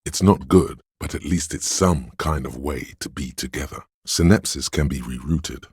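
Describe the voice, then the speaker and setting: A man voicing a seedy, hedonistic inner voice of addiction in a video game, gravelly and husky, coaxing in a sly, seductive way. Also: extremely low pitch, a little slow, no room sound, clean studio sound, full character voice. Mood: sly, teasing. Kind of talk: video game character voice lines.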